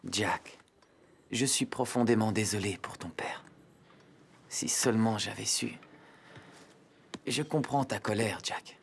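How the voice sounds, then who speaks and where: A man playing an earnest, protective young king burdened by duty in a dubbed animated adventure, speaking in a solemn, measured way. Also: fairly low pitch, gravelly, slight background noise. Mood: sorrowful, gentle.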